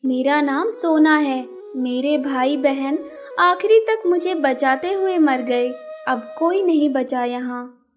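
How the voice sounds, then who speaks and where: Cartoon fish, played by a woman: very high, sweet female voice, earnest and thankful, soft music underneath. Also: slow pace. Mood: grateful, sad. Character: grateful female fish rescued from drying up. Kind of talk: children's cartoon character acting.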